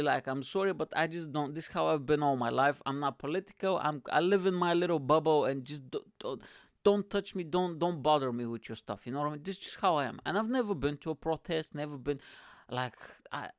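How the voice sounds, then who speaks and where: A man's voice: a little high, brisk, no room sound, clean studio sound. A man in his thirties with a Bulgarian accent, hosting his own podcast in a rambling, joking monologue from a small home room into a desk mic. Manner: animated, gesturing a lot, joking and rambling. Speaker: podcast host. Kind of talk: casual comedic monologue. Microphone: studio mic on desk in front.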